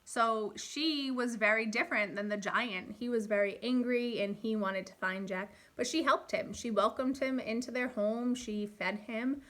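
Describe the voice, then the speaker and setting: A woman with a fairly high, very bright voice speaks as a primary school teacher. She is expressive and instructive, guiding young listeners with questions. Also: moderate pace, few pauses. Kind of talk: read-aloud and lesson for young children.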